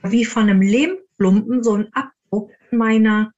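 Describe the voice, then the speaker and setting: A woman's voice: on the high side, normal speed, a little mellow, lo-fi sound. A woman speaking as a guest paranormal investigator, casually telling stories and acting out quoted dialogue. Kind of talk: casual anecdotal storytelling.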